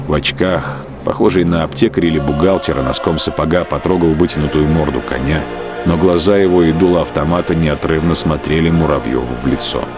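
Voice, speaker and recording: A man giving a measured, dramatic literary narration of a war story for an audio drama. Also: rumbling low.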